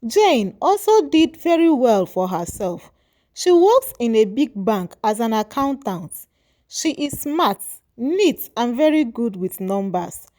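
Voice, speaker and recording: Slow, lilting woman storyteller. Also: a little high, sing-song, mellow. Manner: measured, moralizing storytelling. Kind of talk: scripted folktale narration.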